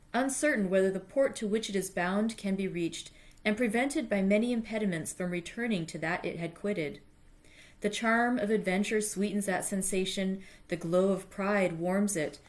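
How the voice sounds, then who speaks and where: A woman hosting a read-aloud, moving between informal, self-deprecating chat and reading literary prose. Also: mid-range voice. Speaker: library read-aloud host. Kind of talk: chatty introduction, then literary audiobook-style reading.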